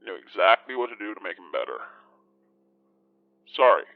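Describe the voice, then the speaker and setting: A man's voice: fairly low pitch, big pitch swings, normal speed, no room sound. A man playing a genial, chatty older widower calling a radio show in an audio drama, folksy and polite, over a muffled line. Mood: wistful, reminiscing. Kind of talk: conversational audio drama acting.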